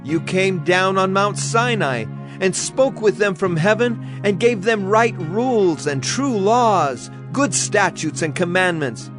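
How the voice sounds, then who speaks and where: High-pitched devout Levite, praying. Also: male voice, expressive, slow, music in the background. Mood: solemn reverence. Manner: solemn, declamatory. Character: devout male Levite praying aloud to God. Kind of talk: dramatized scripture prayer.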